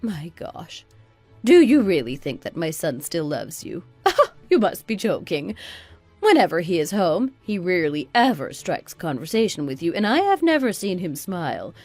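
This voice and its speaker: Casting: spiteful mother-in-law, early sixties, played by a woman, swooping pitch, condescending, radio drama, close dry sound over music. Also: very animated, moderate pace, a little mellow, no echo. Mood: smug, taunting. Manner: berating, condescending, dramatic exclamations. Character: spiteful, self-righteous 62-year-old mother-in-law, scolding. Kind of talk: scripted radio drama dialogue.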